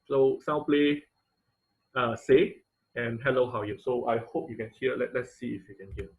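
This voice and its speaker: A man teaching an online class in an informal, halting tutorial style, often checking in with listeners. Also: mid-range voice, fairly even intonation, darkish tone. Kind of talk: informal tutorial with live demonstration. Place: online video call class.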